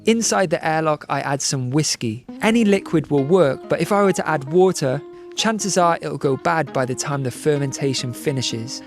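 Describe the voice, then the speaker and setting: A man giving calm, scripted voice-over narration, explaining each step clearly. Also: on the high side. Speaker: vlogger narrating.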